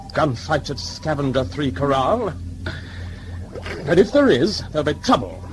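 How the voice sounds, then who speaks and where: A man's voice: mid-range voice, low-quality recording. A man acting in an audio drama as the governor of a convict crew, with a folksy, pompous, lecturing manner. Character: gruff male governor of a convict scavenger crew, musing and condescending. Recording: music under the dialogue.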